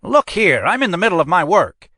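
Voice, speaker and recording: A man's voice: sing-song, a little fast, bright, forceful tone, slightly rough, no echo, studio quality, exaggerated voice. A man voicing an indignant older male medical examiner who blusters when challenged. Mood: defensive. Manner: indignant, high-strung, blustering.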